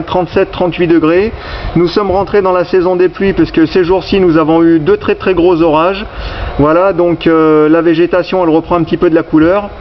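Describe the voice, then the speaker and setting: A man working as an amateur radio operator, chatting with call signs over the radio in a hesitant, halting way that repeats words. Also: high-pitched. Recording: dull, noisy radio recording.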